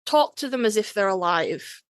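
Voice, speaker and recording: A woman's voice: medium pitch, expressive, moderate pace, dry recording. A woman hosting a podcast in casual, conversational chat. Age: adult, parent. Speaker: podcast host.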